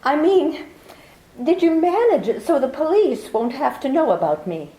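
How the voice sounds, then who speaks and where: A woman's voice: sits high, big pitch swings, moderate pace, warm tone, reverberant, rough recording. Woman playing a timid, stammering young lady. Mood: anxious. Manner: stammering, breathy and demure. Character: nervous young woman client, coy and timid. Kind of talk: amateur 1940s-style radio drama acting.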